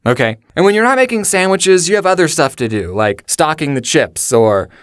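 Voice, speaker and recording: A man's voice: a little high, big pitch swings, a little fast, clean tone, no room sound, clean studio sound. A young adult man with an American accent telling a casual comedic story to his viewers, chatty and self-deprecating with sarcastic asides. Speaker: storyteller and video host.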